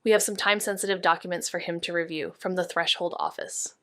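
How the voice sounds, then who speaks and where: A woman's voice: fairly low pitch, steady tone, quick pace, bright, forceful tone, no echo, studio quality. Guarded young female detective. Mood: businesslike. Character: guarded, weary young woman amateur detective. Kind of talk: audio drama dialogue.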